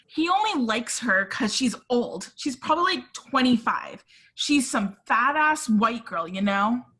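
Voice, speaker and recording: A woman acts out a jealous, lovesick teenage girl with a sharp, bright voice. She is dramatic and petulant, ranting in teen slang at an unhurried pace, pitched fairly high. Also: animated, heavily acted. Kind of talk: screenplay table read.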